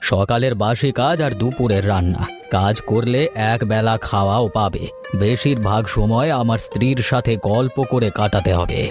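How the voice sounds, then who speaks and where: A man voicing a reasonable, well-meaning village moneylender in a cartoon story, with a measured, matter-of-fact delivery. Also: on the low side, music in the background, lightly acted. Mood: businesslike. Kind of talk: Bengali cartoon story dubbing.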